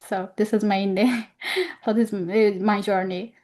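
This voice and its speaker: A young woman from Turkey speaking non-native English with a Turkish accent, halting as she searches for words while telling her story as an interview guest. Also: mid-range voice, fairly even intonation, darkish tone. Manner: hesitant, halting phrasing with many word searches. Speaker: guest speaker, education technology professional. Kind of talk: personal account in an online interview.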